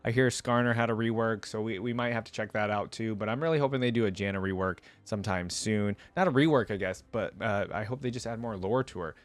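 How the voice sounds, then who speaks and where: A man's voice: on the low side, fast, few pauses. A young adult man, hosting a reaction video in casual, rambling chat.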